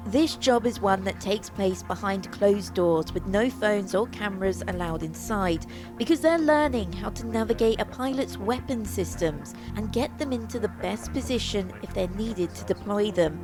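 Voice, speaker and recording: A woman's voice: mid-range voice, lively intonation, normal speed, music in the background. A British woman reading scripted narration as a news reporter.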